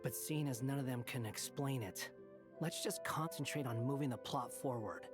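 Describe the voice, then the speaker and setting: A man's voice: mid-range voice, moderate pace, close to an everyday voice. An actor playing a young male traveler hero in a game, speaking in a dry, understated way. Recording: game audio with clear music behind.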